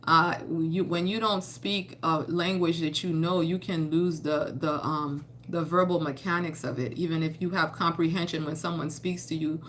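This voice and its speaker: A woman in her forties with a bright, fairly low voice chats loosely about her homeschooling day, often restarting and repeating words. She grew up in Haiti, and French was her first language. A faint hum of background noise sits under her talk. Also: fairly even intonation, normal speed, few pauses. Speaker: homeschooling mother and vlogger. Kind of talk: casual chat to camera.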